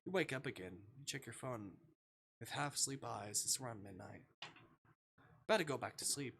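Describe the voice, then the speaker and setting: A livestreamer reading a visual novel aloud and commenting on it, expressive and sweary, with dramatic delivery. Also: medium pitch, moderate pace, a little hiss or hum. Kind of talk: livestream gameplay commentary mixed with read-aloud narration. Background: music.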